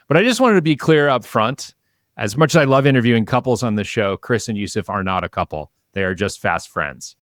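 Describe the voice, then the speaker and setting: A man's voice: mid-range voice, dry recording, studio quality. A man hosting a podcast and interviewing guests in a conversational, presenterly way.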